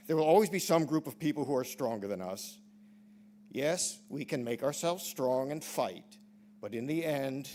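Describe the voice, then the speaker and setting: A man playing Simon, a sincere former zealot turned disciple, giving earnest, measured testimony in a church dramatic reading. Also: medium pitch, darkish tone. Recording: background noise with hum.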